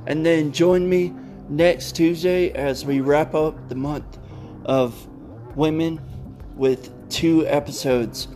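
A man hosting an old-time radio podcast, calmly wrapping up the episode and announcing upcoming shows in a deliberate way. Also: a little high, soft background music. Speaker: podcast host. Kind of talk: casual podcast outro.

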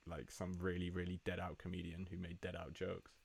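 A man's voice: sits low, monotone, a little fast, vocal fry, slightly rough, no echo. A young man from Brazil, a native Portuguese speaker, co-hosting a podcast with dry, teasing banter and casual swearing. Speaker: podcast co-host. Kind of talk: casual chat.

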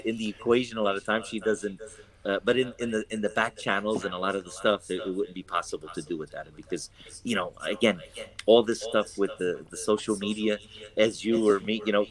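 A man's voice: fairly low pitch, a bit bright, low-quality recording. A man who is a real estate broker talking casually in an interview, rambling with many fillers and restarts. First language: English.

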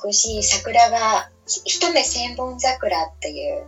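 A woman's voice: a little high, lively intonation, measured pace. A woman from northern Japan chatting casually as a guest, giving short, agreeable answers. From Miyagi, Japan. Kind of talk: casual chat. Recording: muffled lo-fi remote call. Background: background music.

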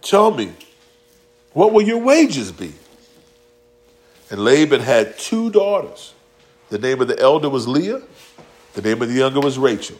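A man's voice: mid-range voice, big pitch swings, unhurried, long pauses, a little mellow. A man preaching a casual, slangy sermon in a church, acting out quotes and talking directly to the congregation. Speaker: pastor. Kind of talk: conversational sermon.

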